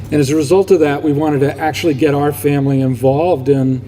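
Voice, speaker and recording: A man in his sixties with a very soft, dark tone speaks to a gathering in an echoing hall. He is reflective and halting, telling things informally, with some background noise. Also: on the high side, fairly even intonation. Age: 60s. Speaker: donor speaking at a ceremony. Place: large room, public event.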